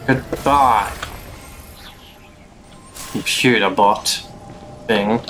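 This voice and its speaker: A young man giving casual, unhurried gameplay commentary, musing to himself as he plays. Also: on the low side, fairly bright tone. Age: young adult. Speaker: game streamer. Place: small room indoors at home. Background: game music.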